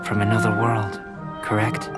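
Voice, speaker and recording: A man voicing a young, intellectual alchemist in a video game, measured, polite and quietly detached. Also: fairly low pitch, completely flat delivery, lightly acted. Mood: curious, composed. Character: calm, cerebral young male alchemist, curious and detached. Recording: music behind the voice.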